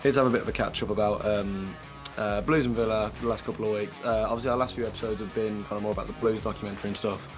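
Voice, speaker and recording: A man in his late twenties with an English accent and a slightly croaky voice, co-hosting a casual football podcast and chatting with lots of fillers. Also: fairly low pitch, steady tone, racing, music in the background, low-quality recording. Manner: chatty, many fillers and restarts. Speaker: podcast co-host.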